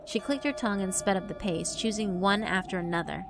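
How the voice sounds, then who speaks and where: A woman's voice: mid-range voice, brisk. A woman reading scripted narration for a horror visual novel. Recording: music behind the voice. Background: background music.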